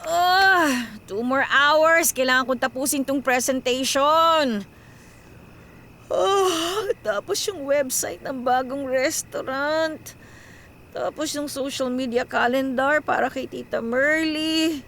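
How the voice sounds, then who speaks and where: An overworked young Filipino daughter in a radio drama, anxious and self-sacrificing. Her voice sits high and moves at a slow, weary pace, often as if talking to herself. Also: fairly bright tone, a little hiss or hum, full character voice. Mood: stressed, worried. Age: young adult. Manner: talks to herself, code-switches into English.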